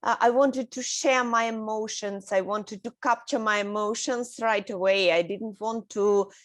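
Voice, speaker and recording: A woman from Russia with a Russian accent, a guest in a casual interview, speaking haltingly with many fillers and soft laughs. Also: mid-range voice, steady tone, no room sound. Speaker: guest artist.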